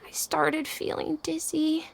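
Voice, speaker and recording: A woman's voice: sits high, completely flat delivery, slow, creaky, a little hiss or hum, full character voice, poor audio. A woman voicing a sick young boy who is scared and dizzy, talking in a tearful, rambling way. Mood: sheepish. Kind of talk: game cutscene voice acting.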